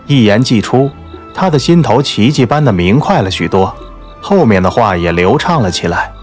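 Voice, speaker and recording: A man's voice: on the low side, very animated, moderate pace, a little mellow, faint music behind. A man narrating a novel as a scripted literary audiobook.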